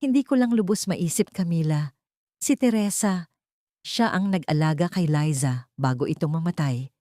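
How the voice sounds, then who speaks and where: A woman's voice: low voice, normal speed, warm tone, no echo, clean studio sound, lightly acted. Troubled wealthy dad, read by a woman, earnest and proud, for an audiobook melodrama. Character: proud, doubting wealthy father.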